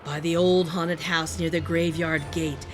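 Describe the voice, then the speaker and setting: A woman reading a children's picture book aloud in an animated, sing-song storytelling manner. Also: low voice, a little slow. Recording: music playing behind the voice. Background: music.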